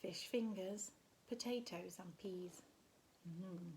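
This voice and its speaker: A woman's voice: on the low side, slight background noise. A woman reading a picture book aloud as a primary teacher would, expressively and with little asides to young listeners. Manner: animated, slow and deliberate storytelling, gasps and murmurs for effect.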